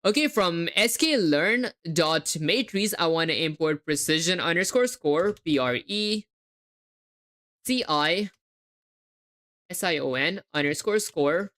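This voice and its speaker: Young woman, hybrid accent, lowish voice, live coding, spells things out, chats with viewers. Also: expressive, unhurried, fairly bright tone. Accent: hybrid, hard to place. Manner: spells words letter by letter, chatty asides to chat. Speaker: livestreaming coder. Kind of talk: live coding stream, thinking aloud.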